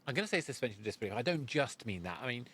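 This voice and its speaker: A man's voice: medium pitch, sing-song, very fast, a bit bright, husky. A man hosting a podcast interview, feeling his way through sentences and rephrasing as he thinks aloud. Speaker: podcast host. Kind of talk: interview conversation.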